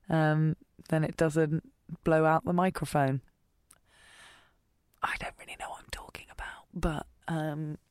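A woman's voice: low-pitched, a little mellow, no echo, studio quality. A woman voice actor chatting casually and self-deprecatingly while testing her microphone levels.

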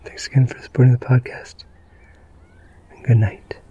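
A man hosting a sleep podcast in a gentle, low-key, meandering way, with a husky, creaky voice. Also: little pitch movement, normal speed, lo-fi sound. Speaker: podcast host. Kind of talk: slow, soothing bedtime narration.

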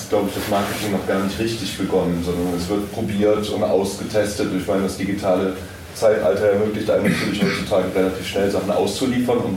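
Low-voiced man in a very echoey room. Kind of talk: informal live panel talk. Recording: very echoey room, distant, noisy.

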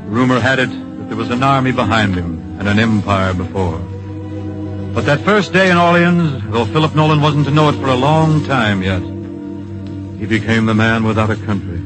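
An actor playing an earnest, solemn storyteller, narrating in poetic phrases with dramatic pauses in an old-time radio drama. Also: male voice, mid-range voice, animated, natural voice, rough recording. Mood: earnest, reflective. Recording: vintage radio broadcast with music.

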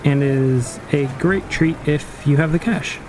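A man playing a dry, matter-of-fact post-apocalyptic wayfinder in an audio drama, speaking in a measured, precise way. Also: fairly high pitch, noisy background, close to an everyday voice. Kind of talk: audio drama interview storytelling.

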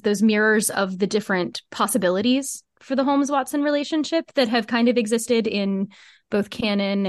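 A woman speaks as a podcast guest in a very dry recording. Her pitch sits in the middle range for a woman. She explains things at length in a conversational, earnest way, repeating phrases for emphasis. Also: no echo. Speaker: podcast guest, fiction podcast co-creator. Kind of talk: conversational interview answers.

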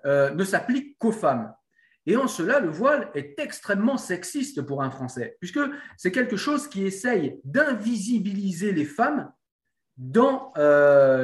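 A man's voice: sits high, very animated, unhurried, reverberant. A man in conversation explaining his view emphatically. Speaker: discussion guest. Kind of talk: casual spoken debate.

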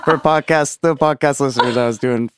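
A man's voice: medium pitch, fairly even intonation, a little fast, slight background noise. A man with an American accent, chatting casually as a podcast host in a slangy, reflective, slightly self-conscious way. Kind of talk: casual chat.

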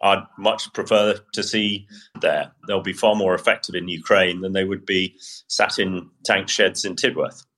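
A British man with a low, bright and forceful voice gives spoken military analysis. He explains things step by step in a measured way and offers his own opinions as a podcast commentator. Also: fairly even intonation.

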